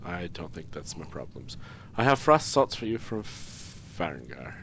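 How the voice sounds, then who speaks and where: A man's voice: deep voice, sing-song, measured pace, a bit bright. A man giving casual let's play commentary in mild, understated asides. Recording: game ambience noise behind commentary. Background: video game ambience.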